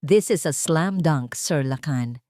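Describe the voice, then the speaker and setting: A woman plays a retired policeman working as a private investigator in an audio drama. He is serious and matter-of-fact, with a low voice. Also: takes it slowly, dark tone, no room sound, clean studio sound. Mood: serious, confident.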